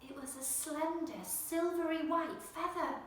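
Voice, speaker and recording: A woman reading a children's storybook with expressive narration, putting emphasis on the action words. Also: very high voice, measured pace, slight background noise. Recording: very echoey room, distant microphone.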